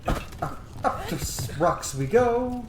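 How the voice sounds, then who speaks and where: A man's voice: very high-pitched, big pitch swings, takes it slowly. Playful man gaming in a noisy, echoey room. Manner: playful, exclaiming, muttering to himself, casual swearing. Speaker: gamer playing and commenting on a role-playing game. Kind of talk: casual gameplay commentary.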